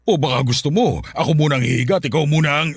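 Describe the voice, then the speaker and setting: An actor playing a lustful, coaxing middle-aged Filipino widower in a Tagalog radio drama. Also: male voice, on the high side, very fast, gravelly, slightly rough. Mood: boastful, eager. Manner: suggestive, coaxing, chuckling seducer.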